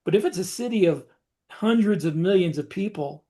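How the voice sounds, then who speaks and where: A man with a distinctly high-pitched voice chats as a podcast co-host. He describes things casually in short, halting phrases, pausing and repeating words along the way. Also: expressive, mellow. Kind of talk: casual discussion, describing story scenes.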